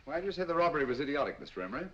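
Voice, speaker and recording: An actor with a British accent playing a sharp, inquisitive male detective, asking crisp, probing questions in 1940s film style. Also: a little high, big pitch swings, very fast, a little hiss or hum. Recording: dull old film soundtrack.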